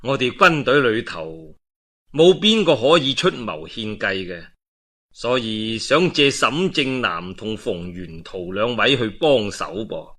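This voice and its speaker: A man voicing a wily, calculating strategist in a historical storytelling, measured and confiding, with a Cantonese accent. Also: mid-range voice, big pitch swings, a bit bright, voice pushed down, exaggerated voice. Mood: smooth, formal.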